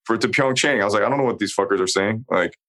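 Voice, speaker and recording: A man in his forties co-hosting a podcast, talking bluntly and with a lot of emphasis. He swears heavily and speaks in a fairly low voice. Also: fast, a bit bright. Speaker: podcast co-host. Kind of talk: casual chat about a TV show.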